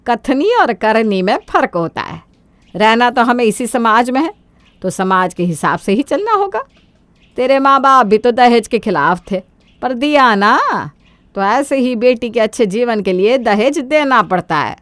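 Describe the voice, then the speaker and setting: A woman's voice: fairly high pitch, expressive, a little slow, a bit bright, no echo. A woman voicing a greedy, domineering older mother-in-law in a cartoon moral story, scolding and brazen. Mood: bossy.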